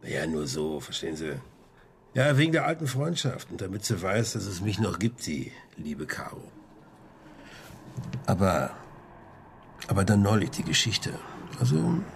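A man's voice: lowish voice, creaky, slight background noise, exaggerated voice. An actor playing a chatty, sly male junk-shop dealer under questioning in a radio crime drama, rambling colloquially with frequent tag questions.

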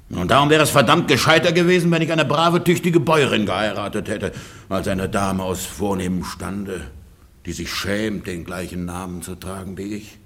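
A wronged rich farmer in a stage-style radio comedy. His voice is deep, creaky and husky. He declaims with bitter self-mockery, swinging between indignation and muttering. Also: fairly bright tone, heavily acted. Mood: indignant. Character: bitter, self-mocking rich peasant, a cheated husband. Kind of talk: theatrical German radio play monologue.

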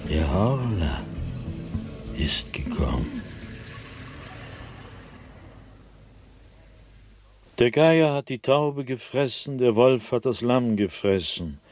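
An actor playing a tormented, desperate man obsessed with an unseen being, in a theatrical, halting German radio drama monologue. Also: mid-range voice, big pitch swings, darkish tone, faint music behind. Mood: grim resolve. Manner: halting, repetitive, dramatic, with anguished emphasis.

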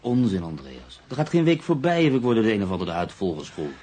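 Male journalist-narrator, wry and reflective, formal phrasing, very deep voice, radio drama. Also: sing-song, darkish tone, husky. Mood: dismissive. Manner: measured, reflective, formal wording. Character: cultured, world-weary male journalist and writer, calmly ironic. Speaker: lead actor and first-person narrator.

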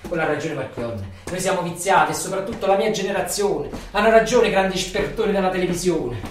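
An actor playing a weary, embittered factory worker in a theatrical radio monologue, with a southern Italian, Neapolitan accent and incantatory, repetitive phrasing. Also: male voice, high voice, lively intonation, fairly quick. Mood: bitter. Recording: echoey room, far from mic, noisy.

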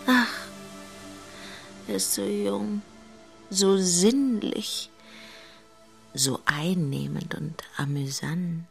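An actress playing a tipsy, lovelorn middle-aged wife in a German radio play, speaking in a dreamy, drawn-out, often whispering way. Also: female voice, fairly low pitch, gravelly, soft background music, exaggerated voice. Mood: dreamy, yearning.

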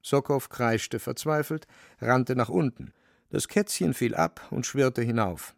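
A man's voice: mid-range voice, unhurried, gravelly, dry recording, studio quality. A man delivering scripted literary narration for a radio drama.

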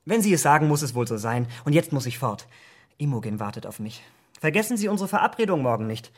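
An actor playing an impressionable, vain young gentleman in a German radio drama, speaking in an earnest, rapturous way. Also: on the high side, animated, quick pace. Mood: deferential.

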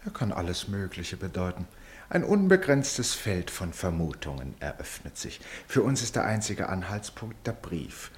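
An actor in a German radio play playing an eccentric, philosophical sleuth who muses theatrically with amused conviction. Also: male voice, sits low, very animated, moderate pace. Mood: musing, insistent. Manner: musing, theatrical, emphatic.

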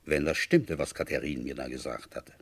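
An actor playing a seasoned middle-aged village police commissioner who narrates and questions with deliberate, dramatic phrasing in a radio drama. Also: male voice, very deep voice, gravelly, slight background noise. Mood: brooding narration. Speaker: detective narrator.

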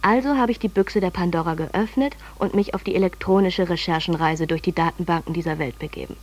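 A woman's voice: fairly low pitch, a little fast, a little hiss or hum, lightly acted. An actress playing an overwhelmed, self-ironic, pedantic woman journalist in a radio drama monologue, speaking in a dramatic, articulate way. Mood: wry. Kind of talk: radio drama monologue, letter read aloud.

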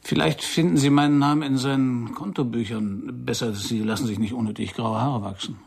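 An actor playing a wry, world-weary private detective in a noir radio play, with a dry, sardonic delivery. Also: male voice, mid-range voice, steady tone, fairly quick, gravelly, breathy edge, no room sound. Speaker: narrator and lead of a crime radio play. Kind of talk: first-person noir radio drama narration.

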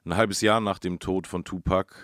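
A man's voice: sits low, steady tone, moderate pace, a bit bright, no room sound, clean studio sound. A man co-hosting a radio feature, telling the story in a casual, colloquial, conversational way.

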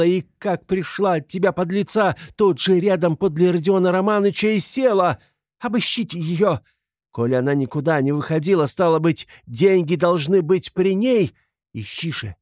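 A man voicing a hysterical, sickly, impoverished widow in an audiobook, shrill and frantic, fiercely protective and indignant. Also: dry recording, clean studio sound, close to an everyday voice. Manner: frantic repetitions, accusing, pleading. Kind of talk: dramatized literary audiobook.

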